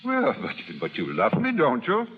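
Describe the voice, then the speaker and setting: An actor playing a suave, commanding, ardent gentleman in 1930s radio drama style, with a smooth-talking, theatrical manner. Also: medium pitch, huge pitch swings, normal speed, slight background noise. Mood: tender, persuasive. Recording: muffled 1930s radio broadcast recording.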